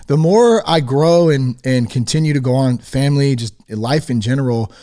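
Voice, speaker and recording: A man's voice: medium pitch, normal speed, mellow. A man hosting a podcast, chatting casually in an informal, slangy way with enthusiastic, affirming responses. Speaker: podcast host. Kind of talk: casual interview chat.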